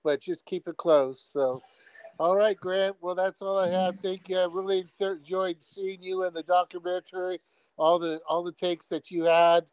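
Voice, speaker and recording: Halting male caller, smooth higher voice. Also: fairly even intonation, a little mellow, no room sound, lo-fi sound. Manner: halting, repeats words, frequent fillers. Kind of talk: phone-in chat.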